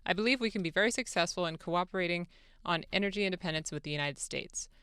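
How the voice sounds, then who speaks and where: Woman playing a deferential president, bright and clear. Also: on the low side, fast talker, no room sound, studio quality, close to an everyday voice. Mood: eager, polite. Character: an eager, deferential male foreign president in dramatic reading. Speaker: radio show producer. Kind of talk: dramatic reading of a phone-call transcript. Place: radio studio. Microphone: close studio microphone.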